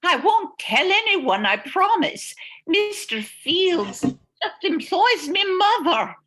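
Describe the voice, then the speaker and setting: A young nursing student held captive in a vintage radio play. Her voice is very high and bright, with slow, theatrical delivery. She is pleading yet spirited. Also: very animated, full character voice. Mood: indignant pleading. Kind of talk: amateur old-time radio drama acting.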